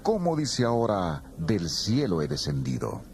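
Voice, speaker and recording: A grumbling, skeptical crowd, low and muttering. His tone swings widely from high to low as he scoffs. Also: huge pitch swings, unhurried, darkish tone, heavily acted. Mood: scornful. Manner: muttering, doubtful.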